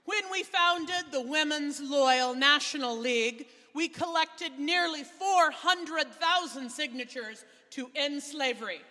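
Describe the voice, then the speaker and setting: Bright, high-voiced dignified suffragist played by a woman. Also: takes it slowly, few pauses, heavily acted. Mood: fond, reminiscing. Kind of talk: readers theater historical drama. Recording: echoey hall with long reverb.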